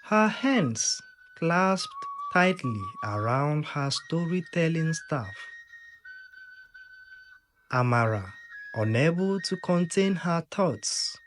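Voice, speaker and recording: A storyteller delivers a scripted folktale at a slow, deliberate pace, letting phrases hang before moving on. The pitch moves expressively up and down for drama, and soft music plays underneath. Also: rough recording. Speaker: storyteller, narrator.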